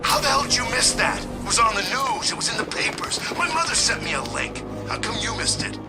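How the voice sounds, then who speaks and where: High-pitched, excitable henchman. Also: brisk, loud music behind the voice, heavily acted. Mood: exasperated. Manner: animated, exasperated. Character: excitable, incredulous, gossipy male street henchman. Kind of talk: video game voice acting.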